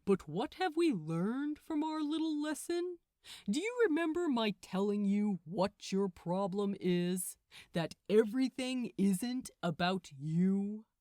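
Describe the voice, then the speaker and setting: A woman's voice: medium pitch, very animated, slow, mellow, no echo, clean studio sound. A woman voicing a smug male archangel in an audiobook, condescending and falsely friendly as he lectures. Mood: lecturing, condescending.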